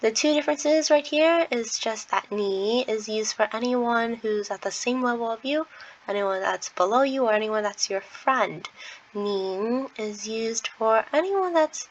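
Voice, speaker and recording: Slow, careful woman teaching pronunciation. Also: a little high, a little hiss or hum. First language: English. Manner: careful, patient, demonstrates sounds repeatedly. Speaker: language teacher. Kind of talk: slow explanatory lesson with pronunciation drills. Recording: dull lo-fi home recording.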